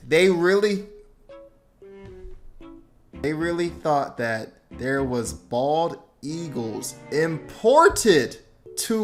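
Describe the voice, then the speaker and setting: A man hosting a reaction video, reacting with incredulous, expressive commentary. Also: on the high side.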